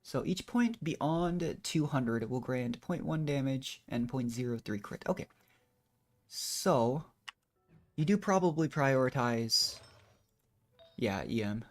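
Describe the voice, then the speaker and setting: A man's voice: mid-range voice, a little mellow. A man doing casual, rambling gameplay commentary as a streamer, thinking aloud in a modest, unsure way. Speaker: video game streamer.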